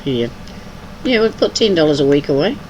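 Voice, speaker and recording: A woman's voice: low voice, big pitch swings, fairly quick, muffled recording, background noise. An older woman from New Zealand with a mixed Kiwi and English accent, chatting casually while she plays a game on a livestream. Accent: New Zealand with some English. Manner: chatty, teasing. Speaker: livestream host. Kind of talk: casual chat while gaming.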